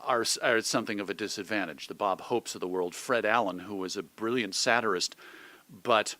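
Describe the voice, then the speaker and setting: A man's voice: fairly low pitch, a little fast, bright, forceful tone. A middle-aged man with an American accent, talking reflectively and articulately as a guest in a conversational interview. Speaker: interview guest, radio host and editor.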